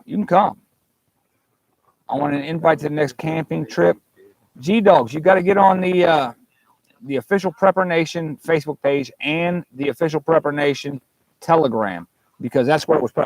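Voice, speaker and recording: A man hosting a live stream outdoors at a campsite, talking in a folksy, joking, rambling way. His voice is gravelly and sits a little high. He speaks through a small clip-on mic with a fuzzy windscreen. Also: expressive, brisk, low-quality recording. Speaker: livestream host. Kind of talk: casual live chat.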